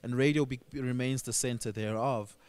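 A man's voice: medium pitch, steady tone, moderate pace, darkish tone, clean tone. Male radio host, South African accent, mid-range voice, reflective and questioning in a discussion format. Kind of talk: discussion interview.

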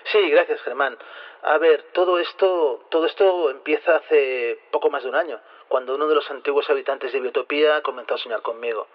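Actor as fast-talking insistent male scientist. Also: on the high side, lightly acted. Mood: explaining. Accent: Castilian Spanish from Spain. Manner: explanatory, insistent, quick. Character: earnest male scientist turned plotter, quietly indignant. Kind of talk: Spanish audio fiction acting. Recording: slightly dull radio-like recording.

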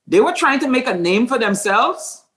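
Man, pastor host, high voice, very animated, repeats words as he gets going. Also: moderate pace. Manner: animated storytelling, stammering restarts. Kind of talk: casual faith discussion.

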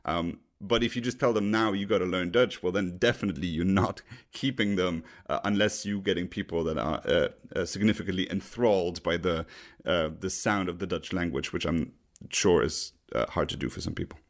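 A man hosting a podcast in casual discussion, speaking haltingly with many ums, uhs and restarts. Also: deep voice. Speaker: podcast host.

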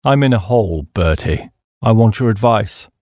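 A man voicing a hapless, dim young upper-class English gentleman who blusters and rambles in slang. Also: fairly low pitch, expressive, moderate pace, dry recording, clean studio sound, lightly acted. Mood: worried.

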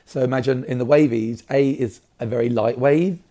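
A man with a slightly raspy voice, talking casually and keenly as an interview guest about his work. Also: medium pitch, normal speed, mellow. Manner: enthusiastic. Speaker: hairdresser, salon owner, interview guest.

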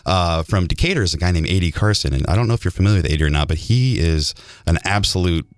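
A man's voice: deep voice, animated, quick pace, no room sound, studio quality. A man from Illinois, a band musician, telling stories chattily and enthusiastically as a guest in a casual radio interview. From Illinois, United States. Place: radio studio.

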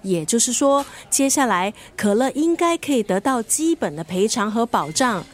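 An actress playing a scornful, sharp-tongued family friend in a radio drama, sarcastic and blunt, with a Singaporean accent. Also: female voice, on the high side, very animated, moderate pace. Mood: brisk explaining. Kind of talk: Mandarin radio drama acting.

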